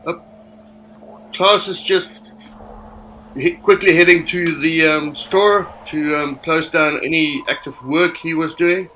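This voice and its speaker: A man playing a tabletop roleplaying game, haltingly narrating his character's actions. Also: a little high, fairly even intonation, pushed and bright, slight background noise, poor audio. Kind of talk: improvised third-person narration of own character.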